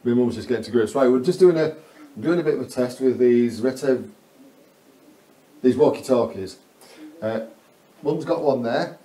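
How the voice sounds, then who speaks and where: A man's voice: mid-range voice, normal speed, pauses often, dark tone, low-quality recording. A man giving a casual, chatty running commentary as he films a gear test indoors. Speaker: vlogger testing walkie-talkies. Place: corridors inside a residential building. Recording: clear background noise.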